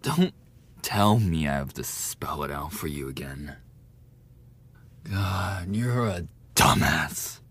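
Man acting a husky, breathy low-voiced delinquent bully. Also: very animated, fairly bright tone, heavily acted. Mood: grudging, confessing. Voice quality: husky, breathy, often dropping to a whisper. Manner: halting, swearing, coughing between phrases. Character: sadistic delinquent school bully, lovesick, defensive and pathetic. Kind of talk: boyfriend ASMR audio roleplay.

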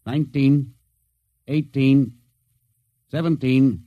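An actor in an old-time radio drama playing a commanding, short-fused spaceship captain who speaks in clipped orders. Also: male voice, mid-range voice, fairly even intonation, takes it slowly, narrow, tinny audio, lightly acted. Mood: brisk, composed.

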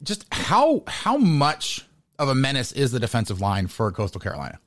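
A man's voice: mid-range voice, huge pitch swings, moderate pace, fairly bright tone. An American man hosting a casual sports interview, rambling and repeating words as he thinks aloud. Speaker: podcast host interviewing a sports guest.

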